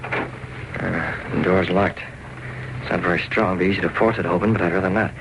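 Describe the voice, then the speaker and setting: An actor playing a composed, commanding masked vigilante in old-time radio drama style, speaking in a measured, self-assured way. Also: male voice, deep voice, fairly even intonation, noisy background. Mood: calm, focused. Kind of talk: 1930s-40s radio drama acting.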